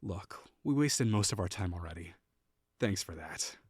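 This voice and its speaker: A man's voice: low voice, expressive, breathy edge, dry recording, clean studio sound. A young man playing a haughty, sarcastic college rival who is secretly lonely, in a scripted audio roleplay. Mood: wistful. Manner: sarcastic, scornful, quick to bristle.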